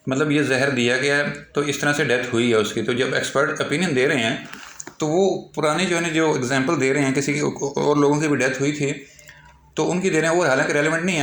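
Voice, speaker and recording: A man's voice: medium pitch, a bit bright, a little hiss or hum. Pakistani man lecturing in an echoey room. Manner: slow, halting when reading English aloud. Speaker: law lecturer. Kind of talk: explanatory lecture, reading out articles then explaining.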